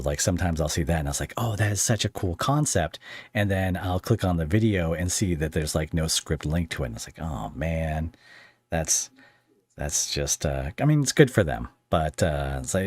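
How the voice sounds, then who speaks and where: A man hosting a casual interview, talking in a rambling, halting and jokey way. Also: rumbling low, lively intonation, a little mellow, breathy edge, dry recording. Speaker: podcast host interviewing a guest.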